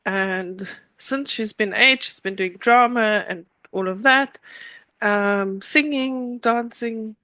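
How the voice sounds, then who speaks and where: A woman's voice: fairly high pitch, slow, pushed and bright, no room sound, poor audio. A middle-aged woman with a South African accent, telling her own story conversationally as an interview guest.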